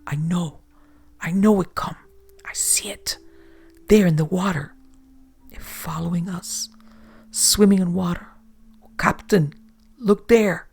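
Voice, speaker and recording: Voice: medium pitch, a little slow, creaky, long pauses, a little mellow, slightly rough, voice pushed up. A narrator voicing a terrified, big swarthy sailor who stammers and whispers in broken English. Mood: dread.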